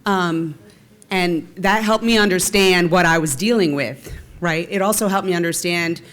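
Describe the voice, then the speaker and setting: A woman's voice: lowish voice, moderate pace, a bit bright. A woman panelist and former chief executive speaking frankly and emphatically, often checking in with "right?". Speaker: panelist, former nonprofit CEO. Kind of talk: panel discussion.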